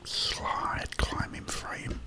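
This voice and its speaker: Slow whispering man. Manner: hushed, unhurried, halting with pauses. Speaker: ASMR creator. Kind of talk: ASMR whispered personal storytelling.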